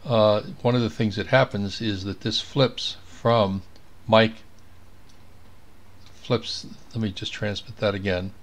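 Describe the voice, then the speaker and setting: Low-voiced man giving a casual tutorial. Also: steady tone, slow pace. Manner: thinking aloud while working. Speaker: amateur radio operator demonstrating software. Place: indoors at a computer.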